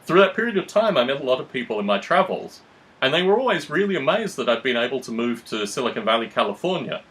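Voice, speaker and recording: A man's voice: mid-range voice, very animated, a bit bright. Analytical man talking at length. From Australia. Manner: articulate, analytical, measured phrasing with formal wording. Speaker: podcast host. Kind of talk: reflective discussion, long explanatory monologues.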